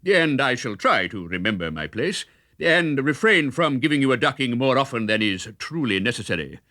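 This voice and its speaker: A man's voice: medium pitch, sing-song, normal speed, bright, forceful tone, no echo, clean studio sound. A man voicing a huge, blustering friar in a children's storybook, pompous and good-humoured, puffing between phrases. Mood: jovial, pompous. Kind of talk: children's storybook character reading.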